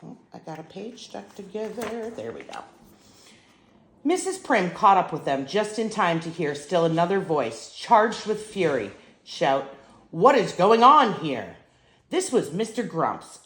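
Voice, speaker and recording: An older woman reading a children's storybook aloud as a grandmother would, in an expressive, emphatic way. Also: fairly low pitch, echoey room.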